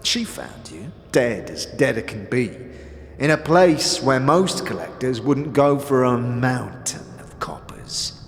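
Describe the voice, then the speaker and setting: An actor playing a sly, withered old scavenger boss in a fantasy audio drama, wheedling and cagey, with archaic, inverted phrasing. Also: male voice, mid-range voice, sing-song, slow, creaky, heavily acted. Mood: mock-tender, sly. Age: older. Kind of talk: audio drama character acting. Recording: long cavernous reverb.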